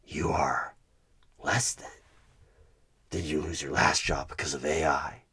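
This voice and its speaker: An extremely low, sinister male demon conducts a job interview as a horror roleplay. The voice is a slow, husky growl that slips into breathy whispers. The delivery is deliberate, menacing and manipulative, a tempter pressing a corrupt deal. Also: fairly even intonation, pushed and bright, full character voice. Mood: scornful, menacing. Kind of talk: one-sided horror ASMR audio roleplay.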